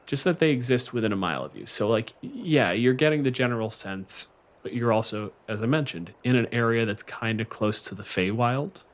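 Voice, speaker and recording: A man's voice: medium pitch, fairly quick. A man speaking as game master, running a tabletop roleplaying game in conversational narration.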